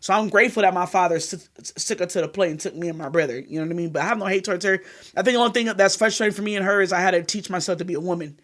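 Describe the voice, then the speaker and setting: A woman's voice: fairly low pitch, rapid-fire, a bit bright. A woman in her thirties from California, talking frankly and at length as an interview guest. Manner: frank and rambling, leans on "you know what I mean". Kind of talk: candid interview conversation.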